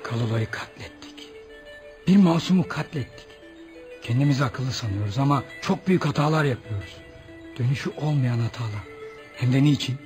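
A man's voice: medium pitch, animated, normal speed, music in the background, natural voice. An actor playing a remorseful middle-aged Eskimo father in a radio play, lamenting in anguish. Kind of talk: radio theatre acting.

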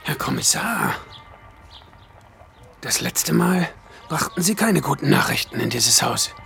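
An actor playing a courteous, sinister, wealthy film aesthete in a radio drama, speaking in a measured, formal way. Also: male voice, fairly high pitch, big pitch swings, vocal fry, pushed and bright, faint music behind, exaggerated voice. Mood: guarded courtesy.

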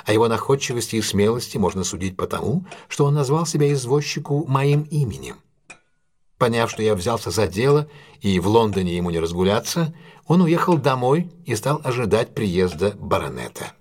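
An actor playing a composed, analytical male detective in an audio drama, explaining things in a deliberate, self-assured way. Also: on the low side, sing-song, a little mellow. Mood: composed, explanatory. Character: composed, analytical male English detective recounting a solved case.

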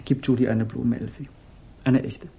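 An actor playing an aloof, dreamy hippie guru in a radio crime drama, speaking in cryptic, solemn pronouncements. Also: male voice, mid-range voice, level tone, creaky, warm tone, close to an everyday voice. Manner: slow, cryptic, repetitive pronouncements. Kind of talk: 1960s German radio crime drama acting.

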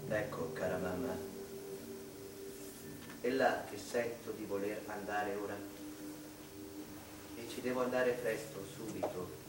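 An actor playing a tormented male mathematician confessing to his mother in a dramatic, deliberate radio drama monologue with repeated phrases and pauses. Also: lowish voice, fairly even intonation. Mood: wistful, confiding. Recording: echoey, distant stage recording with heavy noise.

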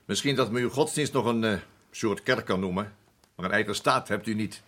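Low-voiced, world-weary army captain. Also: male voice, fairly quick, a bit bright. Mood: firm. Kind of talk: radio play acting.

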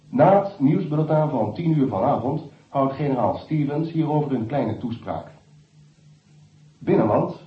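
A matter-of-fact male newsreader. He delivers an official bulletin in a measured, middle-pitched voice. It sounds narrow and echoing, as if from an old radio set. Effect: radio set filter. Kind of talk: scripted news bulletin in radio drama. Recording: echoey, tinny radio broadcast.

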